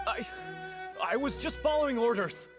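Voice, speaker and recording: An actor voicing a nervous guard who stammers out a confession in a video game. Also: male voice, extremely high pitch, animated, over music. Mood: panicked. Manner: rushed, stammering.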